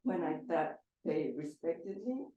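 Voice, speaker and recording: A woman whose first language is Korean asks the panel a question in an earnest, halting way, pausing to find her words. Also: sits low, lively intonation, dark tone, lo-fi sound, a little hiss or hum. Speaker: audience member asking a question. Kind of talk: personal question at a public Q&A. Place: large echoey event hall. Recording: roomy hall echo.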